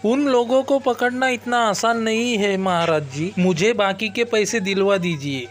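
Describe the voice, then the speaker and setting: A man's voice: sits high, measured pace, soft background music. A man voicing an aggrieved merchant father in a cartoon story. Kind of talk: Hindi cartoon story dubbing.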